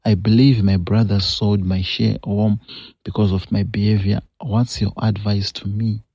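A man's voice: fairly low pitch, level tone, unhurried, dark tone, breathy edge, no echo. A man with a Zimbabwean English accent hosting a show, reading a confession aloud and then giving earnest, scolding advice with repeated phrases. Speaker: host. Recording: dull lo-fi dry recording.

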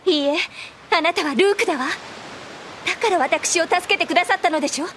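A woman's voice: very high-pitched, quick pace, a bit bright, a little hiss or hum. A woman acting a devoted, wistful young noblewoman in an anime audio drama, formal and emotional. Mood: yearning, pleading.

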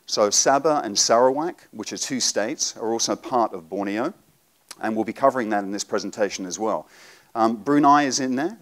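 Guy giving a talk at a seminar, kinda low voice, easygoing, says um a lot, hotel meeting room. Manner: relaxed, frequent ums, explanatory. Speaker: presenter. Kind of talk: live seminar presentation. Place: hotel conference room with audience.

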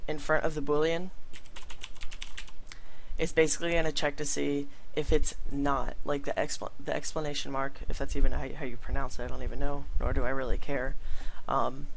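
A young man with a slightly raspy voice, casually talking through a programming tutorial at his computer, with plenty of ums along the way. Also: mid-range voice, flat delivery, normal speed, slight background noise, poor audio. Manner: casual, rambling with many um fillers. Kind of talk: screen-recorded coding tutorial.

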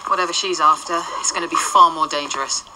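Actress as a dry, needling ghost nun, low-key and lowish in pitch; some noise. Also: female voice, fairly even intonation, fast, lightly acted. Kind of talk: radio drama acting.

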